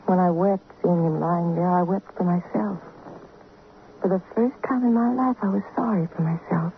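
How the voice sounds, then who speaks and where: Frail, bereaved young-love heroine, female, played by an actress: fragile, halting, earnest radio drama delivery on a thin, faintly hissy recording. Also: fairly low pitch, natural voice. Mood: sorrowful. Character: frail, grieving sick woman suspected of killing her lover. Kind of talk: 1950s radio drama acting. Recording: tinny, faintly noisy old radio recording.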